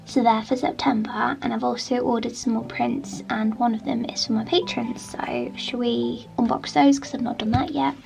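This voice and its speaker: A woman vlogging casually from her studio, croaky from a chest infection, chatty with plenty of ums and soft asides. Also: on the high side, steady tone, moderate pace, few pauses. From England. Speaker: vlogger, artist. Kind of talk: casual studio vlog chat. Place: art studio indoors.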